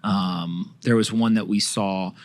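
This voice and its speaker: A man hosting an interview, asking relaxed questions and giving short acknowledgements. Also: lowish voice, slow pace. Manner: brief backchannels, relaxed questions. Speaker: podcast host.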